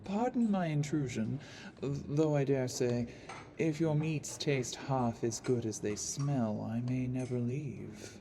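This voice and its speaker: An actor playing a sly assassin posing as a charming nobleman, narrating an audio drama in a courtly, measured, theatrical way. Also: mid-range voice, vocal fry, dark tone, a little hiss or hum. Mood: charming, teasing. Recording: long reverb, music underneath.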